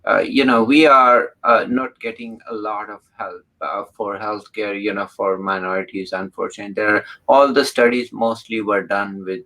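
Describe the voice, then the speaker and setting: A man hosting an interview, talking in a halting way with many restarts and fillers. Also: fairly low pitch, fairly even intonation, pushed and bright, poor audio. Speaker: interview host. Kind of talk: casual interview talk.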